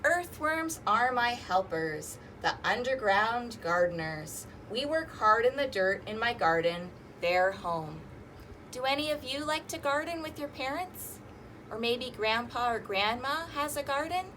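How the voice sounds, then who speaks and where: Slow, higher-pitched woman reading to children. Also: lively intonation, pushed and bright, a little hiss or hum, rough recording. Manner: asks listeners questions and invites them to join in. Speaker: children's storytime host. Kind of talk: interactive read-aloud for young children.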